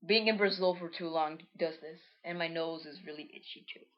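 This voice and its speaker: Rambling woman with a lowish voice. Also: a little fast, lo-fi sound. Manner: rambling, self-correcting, chatty. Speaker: creator reading her old comic. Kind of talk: casual reading and commentary.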